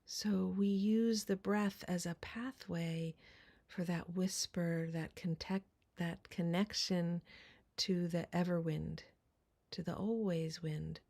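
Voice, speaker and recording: A woman leading a guided meditation in a soft, breathy, deliberate voice with long pauses. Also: fairly low pitch, steady tone.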